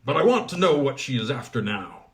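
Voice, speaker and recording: A man voicing a gruff, powerful male goblin smith who talks bluntly and gives commands. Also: mid-range voice, sing-song, fairly quick, natural voice. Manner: brusque, commanding.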